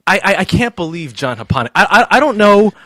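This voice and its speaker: Animated stammering podcast co-host man. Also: high voice, a little fast, no room sound, studio quality. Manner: stammering repetitions, animated teasing. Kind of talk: casual trash-talking film review chat.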